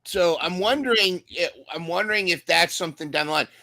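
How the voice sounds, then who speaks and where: A man's voice: high voice, a little fast, creaky, bright, forceful tone, no echo, soft background music. A man co-hosting a casual recap podcast, talking enthusiastically and often restarting his sentences. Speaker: podcast co-host.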